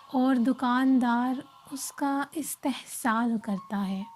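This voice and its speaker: Woman, poetry recitation, slightly high pitch, dark mellow tone, measured deliberate phrasing, light music underneath. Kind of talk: expressive Urdu poetry recitation with explanatory introduction. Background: faint background music.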